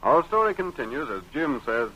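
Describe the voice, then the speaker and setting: A man's voice: medium pitch, big pitch swings, normal speed, pushed and bright, lo-fi sound. An American man narrating a 1930s radio serial in a scripted, scene-setting style. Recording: noisy 1930s radio recording.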